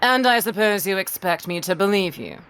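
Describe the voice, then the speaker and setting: A woman acts as a cold, commanding captain of a ship in an audio drama. Her voice sits at a middle pitch. She speaks curtly and imperiously, with stern authority. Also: lively intonation, normal speed, fairly bright tone, smooth, natural voice. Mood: suspicious, threatening. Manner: curt, imperious.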